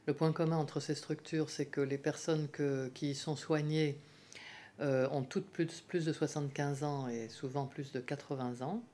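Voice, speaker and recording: A middle-aged woman from Paris, a geriatrics professor, explaining her department in a measured way with frequent hesitations. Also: low voice, steady tone, mellow, slight background noise. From Paris, France. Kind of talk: explanatory interview answers.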